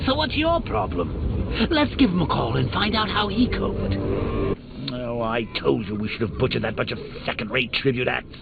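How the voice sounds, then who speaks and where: An actor playing a taunting, manic male clown villain in a video game, with a gravelly, theatrical voice that swings between whispers and shouts. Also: mid-range voice, animated, normal speed, background noise. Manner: mocking, sing-song cruelty. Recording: tinny lo-fi audio with music underneath.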